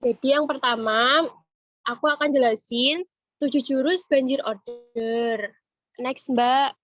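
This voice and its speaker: High-voiced woman presenting, muffled call. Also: fairly even intonation, slow, a bit bright. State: has a cold and cough. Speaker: webinar presenter and retail trainer. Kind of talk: informal instructional presentation. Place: online video meeting. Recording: muffled, dull online-meeting audio.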